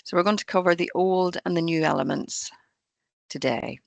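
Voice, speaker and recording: A hoarse woman presents an online training webinar for employers. She talks over a video call and explains rules steadily, point by point. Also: on the low side, moderate pace, no echo. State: croaky, hoarse voice. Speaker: pension fund trainer.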